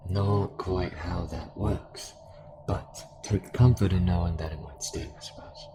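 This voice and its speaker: A man playing a calm, sinister cult fanatic fused with a devouring entity, taunting in measured monologue in an audio drama. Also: low-pitched, noisy background. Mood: taunting, smug. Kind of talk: dramatic audio roleplay acting. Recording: music under the voice.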